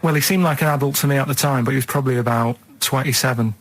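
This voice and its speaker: A man's voice: mid-range voice, racing. A man co-hosting a radio show, telling rambling anecdotes in a blunt, colloquial, casual way.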